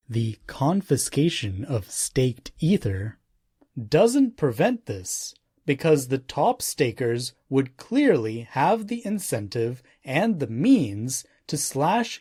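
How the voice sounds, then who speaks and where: A man narrates non-fiction from a script in a measured, emphatic way. His pitch moves in lively swings while the delivery stays slow. The sound is dry and close, with no room around the voice. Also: husky, no echo. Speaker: audiobook narrator.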